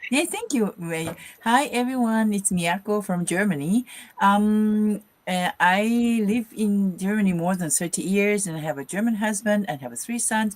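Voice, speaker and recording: Woman in her fifties, mid-pitched, careful and friendly, a simple self-intro. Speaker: guest on a group live stream.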